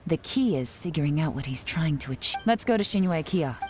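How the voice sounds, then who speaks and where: A woman playing a cool, shrewd female spymaster in a video game, speaking in a measured, authoritative way. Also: low-pitched, expressive, background noise. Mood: composed. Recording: music playing behind the voice.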